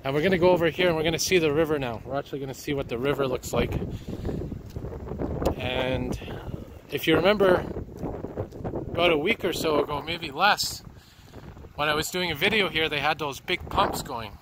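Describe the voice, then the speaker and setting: A man, slightly hoarse from a cold, narrating as he walks by a flooded river with a handheld camera. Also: on the high side, normal speed, pauses often. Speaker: citizen video reporter. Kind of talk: walking commentary. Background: street and water noise.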